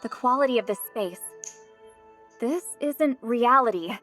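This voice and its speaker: Cool, knowing game heroine, slightly high. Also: female voice, animated, a little slow, fairly bright tone, faint music behind. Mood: analytical. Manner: dry, matter-of-fact, assured. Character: cool, knowing, sardonic young woman guide. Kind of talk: video game voice acting.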